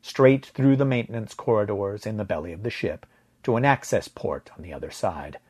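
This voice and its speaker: A man's voice: fairly low pitch, moderate pace, darkish tone, slightly rough. A man narrating first-person science fiction fiction from a script as a storyteller.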